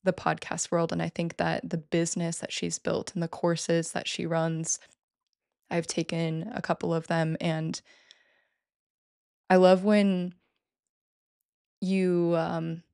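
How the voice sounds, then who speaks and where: Woman guest, gravelly and fairly monotone, chatty and keen. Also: lowish voice, slow, pauses often, no room sound, clean studio sound. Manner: enthusiastic, many fillers and restarts. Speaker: podcast guest. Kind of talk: casual interview talk.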